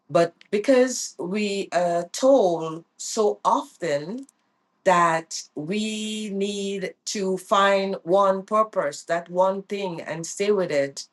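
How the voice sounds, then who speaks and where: A middle-aged woman vlogging in an earnest, reflective way, often repeating her phrases for emphasis. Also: deep voice. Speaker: vlogger and life coach. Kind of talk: reflective personal vlog.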